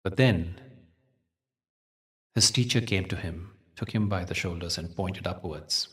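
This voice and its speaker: A man leading a guided meditation as a teacher, telling spiritual stories in a gentle, measured, soothing way with deliberate pauses. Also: deep voice, recorded from a distance.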